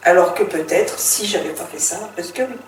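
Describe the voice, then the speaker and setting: A middle-aged woman from France, telling her life story in a relaxed interview, with lots of fillers and restarts. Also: lowish voice, fairly quick. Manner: chatty and reflective, with many fillers and restarts. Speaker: author and former teacher, interview guest. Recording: roomy, distant mic with faint noise.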